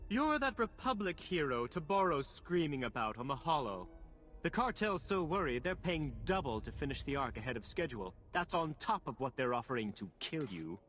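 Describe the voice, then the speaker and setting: An actor playing a smug, unflappable crooked alien boss in a video game, smooth-talking and flippant as he haggles. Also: fairly high pitch, big pitch swings, normal speed, fairly bright tone. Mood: wry. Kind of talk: video game cutscene acting.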